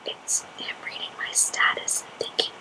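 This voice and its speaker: A woman in her twenties chatting casually in a breathy, unvoiced ASMR whisper, confiding and halting as she tells personal stories. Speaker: ASMR channel host.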